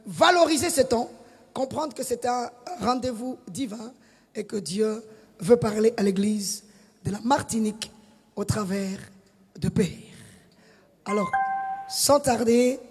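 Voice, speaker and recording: Brief: very high-pitched male pastor, emphatic church intro, long reverb from a big hall. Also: a little mellow, breathy edge. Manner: exhorting, emphatic, hesitant with fillers. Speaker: pastor introducing the guest speaker.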